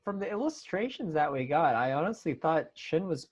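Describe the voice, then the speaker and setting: A man's voice: fairly high pitch, very animated, moderate pace, husky. A man casually hosting a Q&A panel and reading out fan questions, on slightly lo-fi audio.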